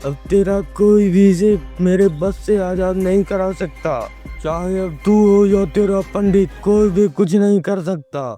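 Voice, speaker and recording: A man voicing a menacing blood-drinking demon in an animated horror story, giving repeated, taunting commands. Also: sits high, unhurried, few pauses, soft background music. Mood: threatening. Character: menacing male blood-drinking demon who hypnotises and threatens.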